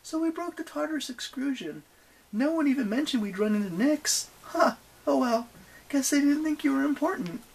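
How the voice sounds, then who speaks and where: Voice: high-pitched, animated, normal speed, creaky, pitched above the performer's own voice, poor audio. A narrator voicing a cheeky teenage demigod girl who bluffs in a breezy, casual, falsely innocent way in an audiobook story. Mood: cheeky innocence.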